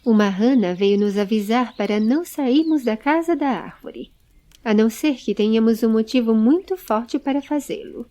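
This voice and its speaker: Woman playing calm caring lady, mellow voice, reassuring, unalarmed, audio storybook. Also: on the high side, normal speed, natural voice. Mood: distraída.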